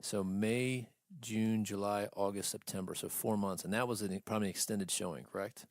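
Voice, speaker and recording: Male co-host, deep creaky voice, blunt slangy podcast banter, salty language. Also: steady tone, normal speed, no room sound. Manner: blunt, slangy, swears often. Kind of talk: casual geek-culture podcast banter.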